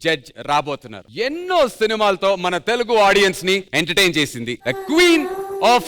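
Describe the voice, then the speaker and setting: A man hosting a TV dance show, making energetic, showy announcements with drawn-out, emphatic flourishes. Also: high-pitched, noisy background. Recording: loud music over stage microphone. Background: loud show music.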